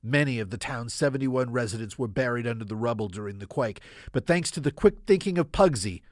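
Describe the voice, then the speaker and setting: A man playing a solemn, hopeful townsman reading out a heartfelt letter in a radio drama, measured and eloquent. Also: medium pitch, expressive, no room sound, studio quality, close to an everyday voice. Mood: grave, urgent.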